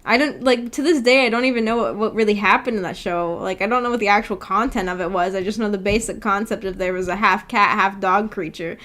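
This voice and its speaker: A woman's voice: medium pitch, fairly even intonation, fast talker, hardly any pauses, fairly bright tone. A woman hosting a livestream, chatting casually and reading out viewer comments in a giggly, chatty way. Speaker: streamer and host.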